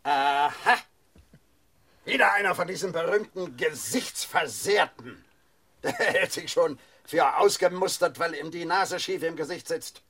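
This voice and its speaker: A man's voice: a little high, a little slow, pushed and bright, husky, full character voice. A man playing a brusque, sneering military doctor who gives orders, in a radio play. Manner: sneering, commanding. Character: brusque, mocking military army doctor in a field hospital. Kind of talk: German radio play acting.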